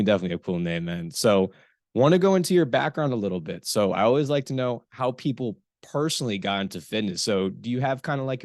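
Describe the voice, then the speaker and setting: A man's voice: fairly low pitch, no echo, studio quality. A man hosting a casual podcast interview, friendly and affirming, often agreeing and laughing with his guest. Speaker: podcast host.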